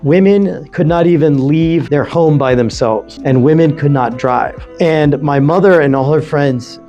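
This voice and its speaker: A man's voice: on the high side, unhurried, mellow, soft background music. A man in his forties telling his life story conversationally as a podcast guest. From United States and Saudi Arabia. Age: 40s.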